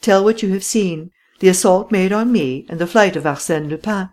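A woman reading an audiobook voices a clever gentleman thief who gives brisk, imperious instructions in a slightly raised voice. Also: medium pitch, pitched above the performer's own voice, lightly acted. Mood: urgent. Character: cool, commanding gentleman thief, clever and persuasive.

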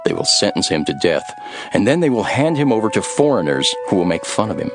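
A man playing a calm, authoritative religious teacher in a solemn, declarative way, in a dramatized scripture recording. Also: lowish voice, fast, over music. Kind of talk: dramatized Bible audio.

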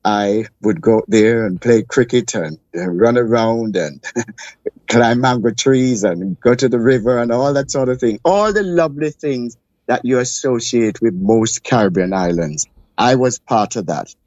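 An older man from Jamaica, a guest in an interview, recounting his childhood in a measured, reflective way. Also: mid-range voice, a little mellow, no room sound, dull audio. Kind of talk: interview storytelling.

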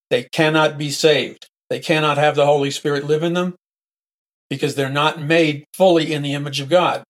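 Hoarse man preaching on talk radio. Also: fairly high pitch. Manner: emphatic and repetitive, restating points. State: husky, hoarse throat. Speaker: radio show host.

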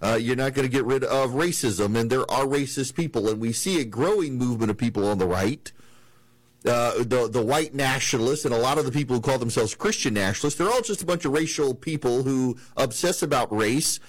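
A man's voice: mid-range voice, brisk, no echo, poor audio. Male talk radio host from the American South, conversational monologue, dry close mic. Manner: rambling, many restarts and fillers.

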